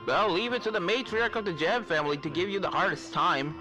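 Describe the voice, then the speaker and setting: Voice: mid-range voice, a little fast, over music, natural voice. A narrator voicing a kindly, fretful professor who gives advice in a hesitant, muttering way. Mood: wry. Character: fretful kindly professor advising over a communicator.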